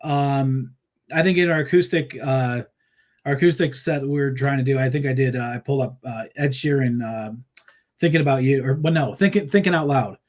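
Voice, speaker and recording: A man talking casually as a musician guest, rambling through stories with plenty of fillers and restarts. He laughs easily and tells his tales in an animated way, his voice sitting in a middle pitch. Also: fairly quick. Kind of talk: casual interview chat.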